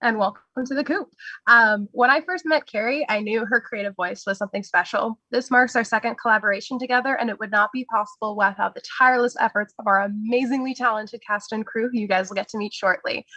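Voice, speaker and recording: A woman's voice: medium pitch, bright, forceful tone, no room sound, dull audio. A woman co-host giving a prepared welcome speech for a show. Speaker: co-host and collaborator.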